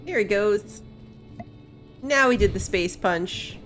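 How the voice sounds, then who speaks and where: A woman's voice: medium pitch, takes it slowly, a bit bright, soft background music. A woman giving casual let's-play commentary, with playful, amused asides. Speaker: gaming video host.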